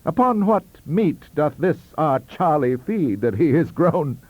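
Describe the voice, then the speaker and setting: A man's voice: fairly high pitch, very animated, a little slow, no room sound, dull audio, pitched above the performer's own voice. A man doing a sardonic young lecturer. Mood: mocking. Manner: mocking, jaunty.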